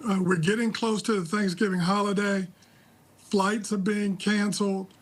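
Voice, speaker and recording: A man with an American accent giving live political analysis in a measured, deliberate, critical way. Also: sits high, level tone. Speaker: political analyst, interview guest. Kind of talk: live news commentary.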